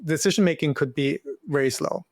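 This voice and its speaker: A man with a German accent talks through his career in a podcast interview, pausing on fillers as he explains. His voice sits a bit high for a man. The sound is dry and close, with no room in it. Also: dry recording. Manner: thoughtful, explanatory, with frequent fillers. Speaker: guest, finance educator. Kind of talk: conversational interview answers.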